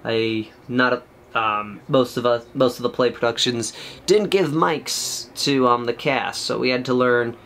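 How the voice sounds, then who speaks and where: A young man casually talking through painting a miniature, chatty and rambling, with a loud projected voice, in a small room at home. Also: medium pitch, a little slow, slight background noise. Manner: rambling, chatty, many fillers. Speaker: miniature painting channel host. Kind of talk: casual tutorial commentary while painting.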